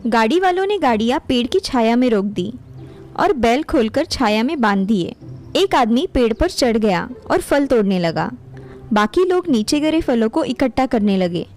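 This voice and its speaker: A woman's voice: a little high, sing-song, moderate pace, darkish tone. A woman narrating a scripted moral story as a storyteller. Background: music behind the voice.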